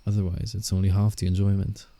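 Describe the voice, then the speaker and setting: A man voicing a gentle, protective werewolf in slow roleplay ASMR, hushed and soothing with a slightly condescending air and a low, gravelly voice. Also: no room sound. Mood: teasing, reassuring. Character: gentle, protective male werewolf guiding a fragile human. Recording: steady night insect ambience, background noise. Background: crickets and night forest ambience.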